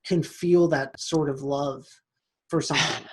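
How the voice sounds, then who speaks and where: Husky-voiced man rambling through jokes. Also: fairly high pitch, steady tone, measured pace. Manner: joking, rambling with many restarts. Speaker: podcast host. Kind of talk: casual chat among friends.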